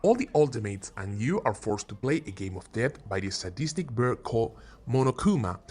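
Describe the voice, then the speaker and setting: A man's voice: mid-range voice, very animated, brisk, a little mellow, husky. A man hosting a scripted video with game recommendations, playful and emphatic on key words, with joking asides. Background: background music.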